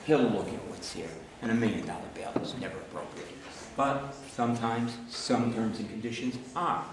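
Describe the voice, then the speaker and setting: A man, a defense lawyer, speaking at a hearing in a relaxed, conversational way. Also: medium pitch, normal speed, a crowd in the background. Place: large echoey hearing room. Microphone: recorded from far by a camcorder. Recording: echoey room, distant camcorder mic, some noise.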